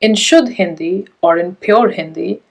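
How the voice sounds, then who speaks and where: A young adult woman with an Indian English accent, giving a measured, reflective conference talk. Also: on the low side, gravelly. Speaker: conference speaker.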